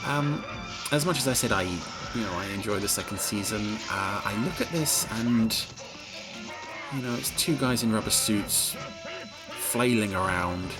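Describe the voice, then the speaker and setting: Wry guy doing episode commentary, ums a bit, very up and down voice, loud music underneath. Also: on the low side, measured pace. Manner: wry, hesitant, expressive. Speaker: podcast host.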